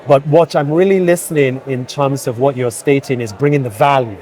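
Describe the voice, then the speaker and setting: A man's voice: medium pitch, a little fast, a little mellow, a little hiss or hum. A man from Nigeria hosting an interview at a tech conference and asking thoughtful, curious questions. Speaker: interviewer, host.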